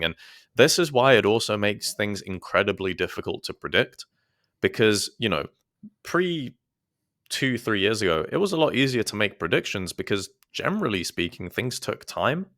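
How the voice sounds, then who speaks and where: A man's voice: lowish voice, a bit bright, no echo, studio quality. A man who is a guest on a podcast, talking in a thoughtful, hesitant way with many restarts. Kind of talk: casual podcast conversation.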